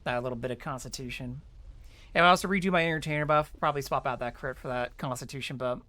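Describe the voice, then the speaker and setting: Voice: medium pitch, fast, pushed and bright. A casual game streamer with a mid-range voice talks viewers through the play. The delivery is informal and chatty, explaining things and thinking aloud along the way. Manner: chatty, informal, mild swearing. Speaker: streamer playing an online game. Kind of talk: casual game walkthrough commentary.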